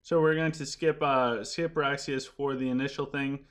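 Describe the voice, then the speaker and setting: A man streaming a strategy game, talking casually as he plays and answers chat. His delivery rambles with lots of restarts, and his voice is wearing out and getting rough. He sits at a middle pitch for a man. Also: steady tone, moderate pace. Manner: chatty, rambling, frequent restarts while playing. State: losing his voice. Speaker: gaming live streamer. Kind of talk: casual live-stream commentary.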